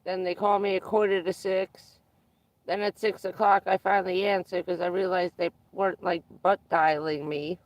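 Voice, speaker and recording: A livestreamer chatting casually with viewers, stuffed up and losing their voice, who keeps clearing their throat. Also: mid-range voice, level tone, no echo, rough recording. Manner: frequent throat clearing, unhurried, rambling. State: congested and losing voice. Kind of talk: casual live stream chat with viewers.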